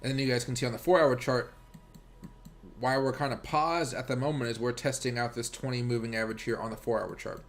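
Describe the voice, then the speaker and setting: Fast-talking American man on a livestream. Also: mid-range voice, fairly even intonation. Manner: rambling, informal, addresses viewers directly. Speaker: livestream host and crypto trader. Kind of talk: casual livestream chat and chart commentary.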